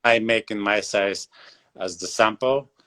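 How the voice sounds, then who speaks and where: Man, forties, from Bulgaria, English, deep voice, halting with lots of fillers, video call. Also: sharp tone. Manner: hesitant, many fillers and pauses. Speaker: guest leatherworker and shoemaker. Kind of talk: casual interview over video call.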